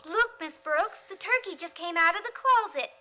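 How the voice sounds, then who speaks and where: A woman's voice: extremely high pitch, animated, normal speed, bright, forceful tone, exaggerated voice. A woman playing an eager, earnest, girlish teenage daughter in an old-time radio comedy, on a slightly noisy recording. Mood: hushed excitement.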